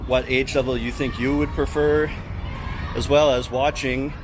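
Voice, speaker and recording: Young Canadian man, relaxed vlogger, mid-pitched, clear city noise behind him. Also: unhurried. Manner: chatty, relaxed, many fillers. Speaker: vlogger, English teacher. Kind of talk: casual vlog talk to camera. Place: outdoors in a city street. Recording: outdoor street noise behind.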